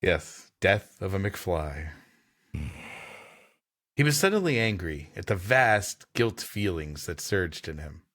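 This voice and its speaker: A man's voice: low voice, sing-song, slow pace, a bit bright. A man co-hosting a podcast, reading a story aloud with dry, wry joking asides. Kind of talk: casual banter mixed with story reading.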